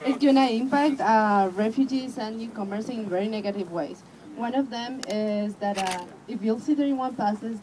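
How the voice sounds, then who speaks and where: A woman speaking at an outdoor rally as a refugee advocate, earnest and hesitant, pausing often with fillers. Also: mid-range voice, noisy background. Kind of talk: rally speech.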